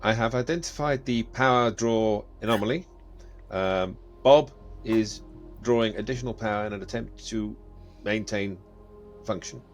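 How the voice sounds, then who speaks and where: Low-voiced, methodical AI overseer played by a man. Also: normal speed, faint music behind, lightly acted. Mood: formal, methodical. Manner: formal, procedural wording with hesitations. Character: polite male AI overseer, methodical and matter-of-fact.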